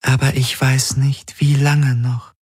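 A man voicing a sorrowful, fading female spirit who speaks softly and half in whispers to her master. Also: mid-range voice, level tone, takes it slowly, husky, dry recording, clean studio sound, pitched above the performer's own voice. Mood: sad. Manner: soft, pleading, partly whispered.

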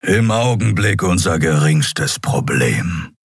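A man playing a gruff, lion-headed male warrior who answers in terse, growling lines. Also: low voice, animated, takes it slowly, no room sound, clean studio sound, heavily acted.

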